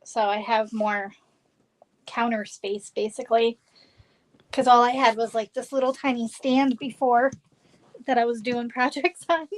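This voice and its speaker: High-voiced, unhurried woman. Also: fairly even intonation, rough recording. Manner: easygoing, quick to laugh. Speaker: guest. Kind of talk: casual chat.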